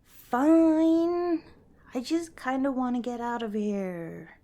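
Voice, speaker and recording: A woman playing a kind, cautious young scavenger in an ASMR roleplay, speaking softly and hesitantly, as if chatting to the listener. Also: high voice, slow. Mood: gently impatient. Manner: soft, hesitant, conversational, with sighs and small laughs.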